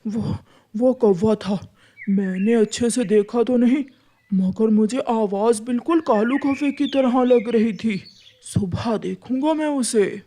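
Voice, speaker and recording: A suspicious cartoon pigeon fellow, acted by a woman, agitated and insistent. The voice runs a bit high and mostly unhurried, repeating words for emphasis. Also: mellow, slight background noise. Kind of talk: children's cartoon story acting.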